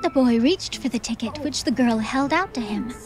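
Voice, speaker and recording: High-voiced woman narrating fantasy. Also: lively intonation, moderate pace. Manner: theatrical storytelling. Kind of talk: scripted fantasy audiobook narration. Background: soft music.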